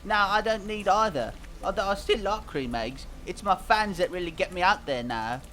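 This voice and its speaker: A man plays a young cartoon band singer with a notably high voice. He is earnest and a bit dim, rambling hesitantly and naively as he answers interview questions. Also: animated, moderate pace, bright, forceful tone. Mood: naive, pleased. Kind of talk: scripted mock interview, fan dub.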